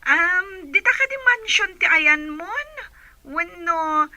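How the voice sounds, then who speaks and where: A woman's voice: very high voice, expressive, takes it slowly, sharp tone, dry recording, exaggerated voice. A woman playing a supportive, chatty female friend in an audio drama, coaxing with a Filipino accent.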